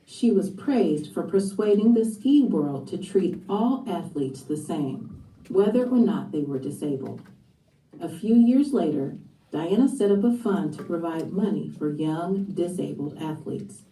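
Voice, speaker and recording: A woman's voice: on the low side, lively intonation, a little slow, warm tone, echoey room, distant mic, low-quality recording. A woman reading a scripted biography for children as a narrator. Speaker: book narrator.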